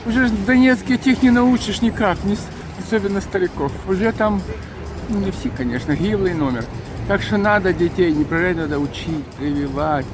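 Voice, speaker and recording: Ukrainian-accented man, passerby, high voice, rambling, music in background. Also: a little fast, poor audio. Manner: rambling, mixes Ukrainian and Russian. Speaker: passerby in a street interview. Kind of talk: street interview answer.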